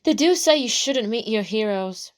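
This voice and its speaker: A woman voicing an inquisitive investigator who asks brisk, probing questions in an amateur audio drama. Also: on the high side, lively intonation, close to an everyday voice.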